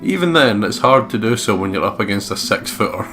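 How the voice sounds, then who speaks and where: Male let's play host, lowish voice, story reading and casual remarks, game guitar music behind. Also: lively intonation, brisk. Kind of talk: reading game narration aloud with casual commentary.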